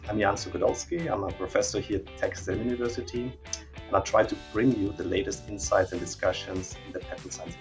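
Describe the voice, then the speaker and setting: A man hosting an interview podcast as a professor talking with a guest. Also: lowish voice. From Austria.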